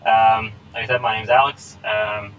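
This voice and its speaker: A relaxed young man in his twenties with a fairly low voice, chatting loosely with lots of ums and quick laughs. A faint hiss of noise sits under the home recording. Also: dull audio. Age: 20s. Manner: relaxed, rambling with many fillers and laughs. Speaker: podcast co-host. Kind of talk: casual chat. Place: small home setup. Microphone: laptop recording.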